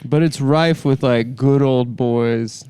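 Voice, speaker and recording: A soft-voiced man bantering casually as a comedy podcast host in a deadpan manner. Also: mid-range voice, slow, smooth, dry recording.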